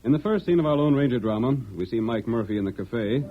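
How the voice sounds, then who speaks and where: A man's voice: medium pitch, animated, quick pace. A man narrating as a radio announcer in a formal, emphatic, theatrical 1940s style, on a dull old broadcast recording.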